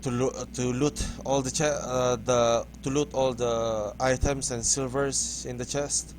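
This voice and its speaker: A man from the Philippines with a Filipino accent, English not his first language, giving halting, hesitant commentary over a game tutorial. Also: medium pitch, steady tone, soft background music. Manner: hesitant, halting, restarts words often. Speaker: gaming tutorial host. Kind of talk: casual gameplay commentary.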